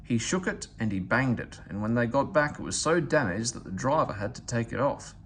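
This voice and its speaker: A man reads a storybook for children with animated, varied intonation. His voice has a gravelly, creaky edge. There is faint noise in the recording. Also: medium pitch, a little fast. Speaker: storybook reader. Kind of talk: expressive read-aloud narration for children.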